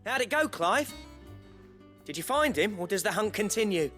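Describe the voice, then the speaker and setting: A man playing a cheeky, curious townsperson in a video game, with a teasing, animated delivery. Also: high-pitched, normal speed. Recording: game music under the voice.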